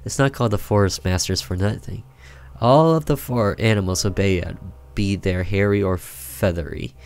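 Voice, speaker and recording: A man's voice: on the low side, normal speed, smooth, close to an everyday voice. A man voicing an ominous documentary announcer who gives grave warnings in a horror game. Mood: foreboding.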